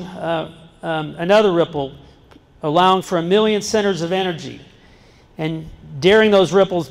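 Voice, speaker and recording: A middle-aged man giving an earnest talk to students, holding a microphone in a large school gym, sometimes breaking off and restarting and joking with his listeners. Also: high-pitched. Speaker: guest speaker. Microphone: handheld microphone. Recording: long reverb in a large hall. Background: seated student audience.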